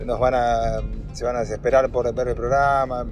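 A man, a TV producer, giving reflective interview answers in a measured, hesitant way. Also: medium pitch, flat delivery, over music.

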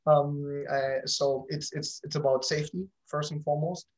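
A man's voice: a little high, level tone, normal speed. A man answering interview questions casually, in a hesitant, self-correcting way. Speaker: interview guest, company founder.

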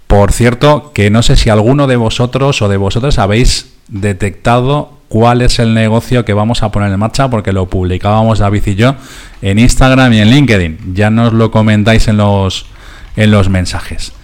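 Low-pitched Spanish male radio host. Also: fairly even intonation, moderate pace. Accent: Spanish (Spain). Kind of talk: conversational radio hosting and discussion.

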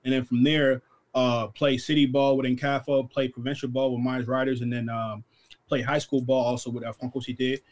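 A man's voice: mid-range voice, level tone, moderate pace. A man in his twenties from Canada, born in Congo, giving casual interview answers as a guest athlete. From born in Congo, grew up in Canada from about eight. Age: 20s. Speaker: guest, football draft prospect.

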